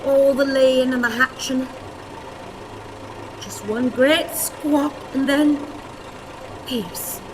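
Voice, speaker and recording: Radio play old woman: high, slow, fussy and self-pitying, exclaiming theatrically in a big echoing space. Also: steady tone. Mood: dismayed, lamenting. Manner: theatrical, exclamatory, wailing and lamenting. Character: stout, cantankerous, melodramatic old woman, stiff and self-pitying. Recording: reverberant hall, audience noise.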